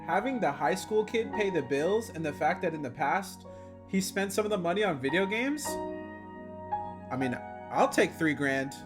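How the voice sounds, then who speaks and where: A man's voice: high voice, lively intonation, moderate pace. A young man giving casual, slangy video game commentary with a wry manner. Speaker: gaming content creator. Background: game music.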